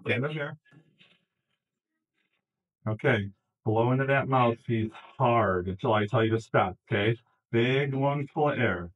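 Man, police officer, husky voice, calm and reassuring, coaching tone, booking room, security camera audio. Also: mid-range voice, measured pace, long pauses, lo-fi sound. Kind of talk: procedural instructions. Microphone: ceiling security camera.

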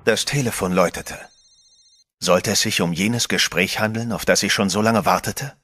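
An adventurer telling his own tale in a radio play. His voice is low and husky, his storytelling measured and expectant. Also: a bit bright. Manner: measured first-person storytelling. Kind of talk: scripted radio play narration.

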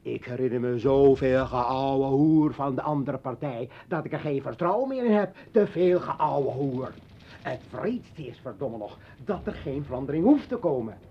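Bitter militant guy in an old radio play, husky voice, ranting and taunting, muffled old tape. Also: mid-range voice, expressive, moderate pace. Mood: scornful. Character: bitter, militant male revolutionary and former journalist. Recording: dull vintage radio drama recording.

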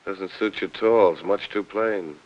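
A man's voice: low-pitched, normal speed, lo-fi sound, slight background noise, lightly acted. An American actor in his thirties playing a cocky, flirtatious, wisecracking military pilot in a 1950s Hollywood film. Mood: teasing. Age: 30s. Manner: wisecracking, smooth-talking.

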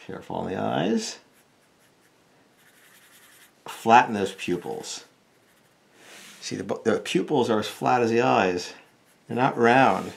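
A man's voice: mid-range voice, lively intonation, slow pace, vocal fry, long pauses. A middle-aged American man giving a casual step-by-step drawing lesson, thinking aloud informally as he works. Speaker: cartoonist, drawing instructor.

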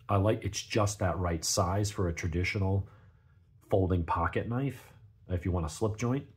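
Deep gravelly man with a sniffly cold. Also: a little mellow. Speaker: knife reviewer, channel host. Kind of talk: casual unscripted product review.

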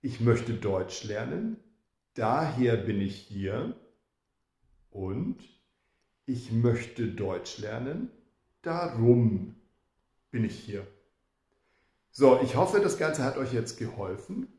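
Teacher, male, 50s; gravelly voice, unhurried, talking straight to camera. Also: mid-range voice, very animated, dark tone, roomy sound. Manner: slow, deliberate explaining with repeated example sentences. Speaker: German teacher. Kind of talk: grammar lesson to camera. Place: indoor room with plants behind. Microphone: camera in front, head and shoulders.

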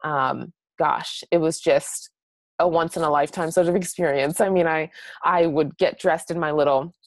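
A woman's voice: low-pitched, fairly even intonation, moderate pace, no echo. An American woman in her late twenties giving a casual webinar presentation in a chatty, encouraging way. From New Hampshire, United States. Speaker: guest presenter, blog strategist.

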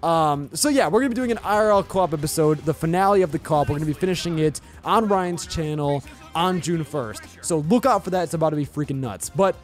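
A young man with a Southern US accent, rambling excitedly and casually as he commentates his Let's Play at home. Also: high voice. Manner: chatty, rambling, excitable, says bro and yo. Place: home recording setup with webcam. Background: faint game music.

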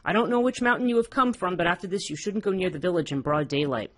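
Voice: medium pitch, quick pace, voice pushed up, lightly acted. A storyteller voicing a kindly, humble old woodcutter grandfather from a folktale, speaking in an earnest, admonishing way. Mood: gently advising.

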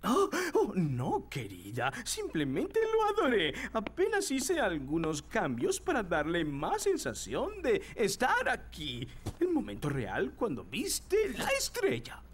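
An actor voicing a fussy, courtly elderly gentleman caterpillar in a cartoon, pompous and effusive. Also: medium pitch, wildly swooping pitch, a little hiss or hum. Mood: courtly, lecturing. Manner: pompous, effusive, drawn-out delivery. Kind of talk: animated cartoon dub acting. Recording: music behind the voice.

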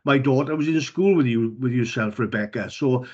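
A man's voice: medium pitch, moderate pace, husky. A man, a councillor speaking plainly with many fillers at a council meeting. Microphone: video call. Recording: dull lo-fi video call audio.

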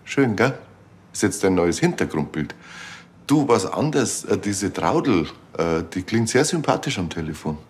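A nosy male bakery café worker in a TV crime comedy. His voice is fairly low, casual and unbothered, cheekily teasing whoever he talks to. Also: sing-song, moderate pace, slight background noise.

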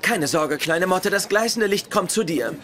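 A man's voice: high-pitched, lively intonation, moderate pace, very noisy. A man playing a pompous, socially oblivious young scientist in a dubbed sitcom, pedantic and precise. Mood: blithely self-assured. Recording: TV sitcom audio with loud laughter.

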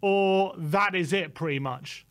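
A man with a high voice discusses football on a fan show. He explains his points earnestly and in detail. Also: slow pace, fairly bright tone.

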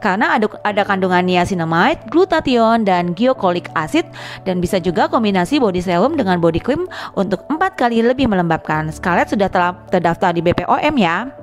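A woman's voice: medium pitch, sing-song, a little fast. A woman doing a casual, chatty vlog voice-over, addressing viewers as friends. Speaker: vlogger and narrator.

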